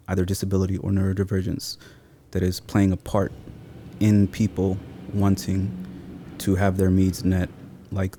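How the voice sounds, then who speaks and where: A man's voice: low-pitched, warm tone, slight background noise. A man in his thirties from Seattle, born in Somalia, talking reflectively and informally as an interview guest. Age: 30s. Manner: thoughtful, informal slangy phrasing. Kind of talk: reflective casual interview talk.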